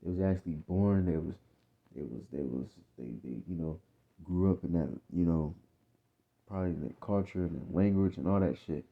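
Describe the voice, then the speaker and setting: A man's voice: low voice, normal speed. An American man giving informal, off-the-cuff religious teaching in blunt, profane street talk, repeating himself and often checking that listeners follow. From United States. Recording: muffled, narrow phone-like sound.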